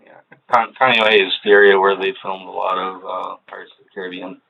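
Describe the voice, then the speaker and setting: Deep-voiced halting man on tinny tape. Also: little pitch movement, creaky. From Hawaii. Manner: hesitant, halting, many fillers. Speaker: interrogation subject. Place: police interview room. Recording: tinny low-fidelity room recording.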